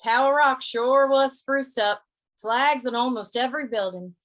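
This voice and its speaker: A woman's voice: high-pitched, unhurried, noisy background. A woman playing an easygoing wandering gunslinger of the Old West, narrating a radio western in a folksy, conversational way. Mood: easygoing, reflective.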